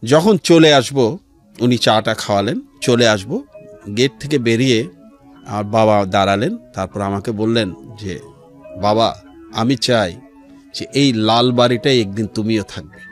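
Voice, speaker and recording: A middle-aged man with a Bangladeshi accent telling his life story as an interview guest, in a measured way with pauses and some English words mixed in. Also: fairly low pitch. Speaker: interview guest, civil servant. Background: background music.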